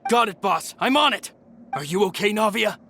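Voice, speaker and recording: A man's voice: high voice, animated, normal speed, bright, forceful tone, full character voice. An actor playing a loyal, protective male henchman in a fantasy game, with firm, urgent delivery. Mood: dutiful, concerned. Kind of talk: dramatic game voice acting.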